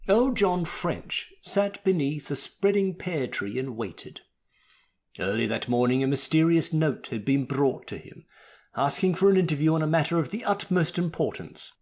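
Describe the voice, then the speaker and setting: A man's voice: on the high side, animated, normal speed, a little mellow. A man narrating a story expressively, with a theatrical, mock-melodramatic delivery.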